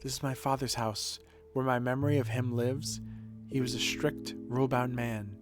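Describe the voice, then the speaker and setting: A man reading game dialogue as an earnest, dutiful woman who runs a shelter, in a measured, formal, reflective manner. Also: mid-range voice, steady tone, close to an everyday voice. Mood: solemn. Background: music.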